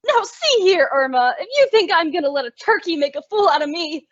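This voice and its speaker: A woman's voice: very high-pitched, very animated, fairly quick, no room sound. A woman playing a sensible, exasperated young roommate who also narrates an old-time radio comedy in a wry, bossy way. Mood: exasperated, wavering. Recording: dull, lo-fi, dry audio.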